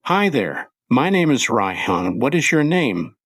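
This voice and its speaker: A man co-hosting an English-learning podcast, speaking in clear, simple scripted sentences. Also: medium pitch, very animated, measured pace, a bit bright, dry recording, clean studio sound. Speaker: podcast co-host.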